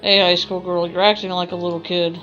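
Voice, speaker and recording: A woman's voice: lowish voice, flat delivery, fairly quick, fairly bright tone, dull audio. A woman streaming a game, reading a visual novel aloud with informal, rambling asides. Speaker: gaming streamer. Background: game music.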